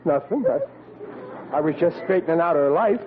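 Man playing hapless middle-aged dad, woeful n blustery, bit high voiced, radio comedy, very tinny sound. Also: animated, moderate pace, crowd noise, noisy background, natural voice. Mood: flustered. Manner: blustering, woeful, plain colloquial speech. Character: hapless, well-meaning working-class middle-aged father, easily flustered. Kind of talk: old-time radio comedy acting. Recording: tinny old radio, studio audience.

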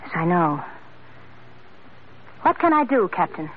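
A woman's voice: on the low side, big pitch swings, a little slow. Casting: earnest, pleading young woman with a secret, classic radio melodrama, tinny vintage sound with light hiss. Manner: earnest, dramatic, insistent. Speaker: radio drama actress. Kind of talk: old-time radio drama acting. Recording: tinny, noisy old radio recording.

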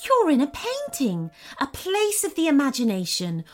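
A woman voicing a friendly, curious female storybook unicorn, gentle and encouraging with a sense of wonder. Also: high-pitched, huge pitch swings, moderate pace, pitched above the performer's own voice. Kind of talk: storybook character voice.

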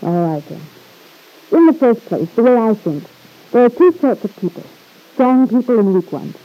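A woman's voice: a little high, very animated, normal speed. An actress playing a proud, hard-edged young married woman in an old-time radio drama, with cool, sardonic retorts. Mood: hard, dismissive. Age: young adult. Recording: dull, lo-fi vintage radio recording.

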